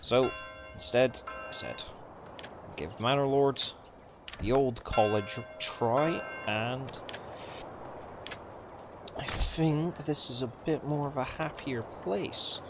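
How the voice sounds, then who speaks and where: A man giving casual, subdued gameplay commentary in halting phrases, his voice slightly off from a sore throat. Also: medium pitch. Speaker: video game let's player. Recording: clearly noisy background.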